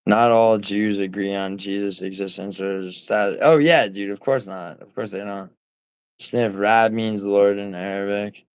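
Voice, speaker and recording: A man's voice: on the low side, fairly even intonation, measured pace, smooth, no echo. A man from New City, chatting on a livestream in a rambling, profane way with lots of fillers and restarts. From New York City. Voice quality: nasal. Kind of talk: casual livestream chat, reading viewer comments.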